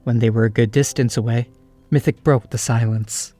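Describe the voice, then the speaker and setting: A man's voice: mid-range voice, steady tone, moderate pace, warm tone, soft background music. A man giving scripted storybook narration as the narrator of an audio drama.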